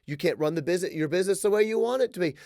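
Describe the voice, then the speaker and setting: A man in his thirties hosts his own podcast, talking fast and animated with a sarcastic edge. His voice sits fairly high for a man. The sound is close and dry, with almost no room echo. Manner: animated, sarcastic, quick to joke. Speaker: podcast host. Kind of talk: casual solo podcast rant. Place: small room with wood-plank wall.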